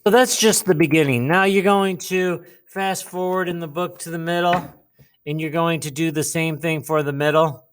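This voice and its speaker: A man teaching a lesson, explaining a writing task step by step, with pauses and repeated phrases. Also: on the high side, fairly even intonation, clean tone. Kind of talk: instructional lesson, modelling a writing task aloud.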